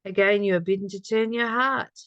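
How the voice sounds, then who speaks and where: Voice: mid-range voice, steady tone, brisk, fairly bright tone, no room sound, dull audio. A person reading a spiritual study text aloud and reflecting on it conversationally, repeating phrases and posing questions. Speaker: spiritual study reader and commentator.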